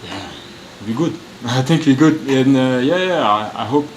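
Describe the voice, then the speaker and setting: A man from France answering press questions in halting, searching English, restarting his sentences often. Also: mid-range voice, lively intonation, a little hiss or hum, rough recording. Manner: halting non-native English, frequent restarts. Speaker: professional footballer. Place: media room.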